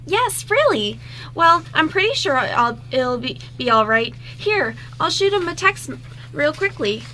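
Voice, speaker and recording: A woman's voice: high voice, sing-song, a little slow, bright, forceful tone, slight background noise, heavily acted, low-quality recording. A woman voicing a cheerful, eager young girl in an amateur radio play. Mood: upbeat, reassuring. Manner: perky, eager. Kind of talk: amateur radio script reading.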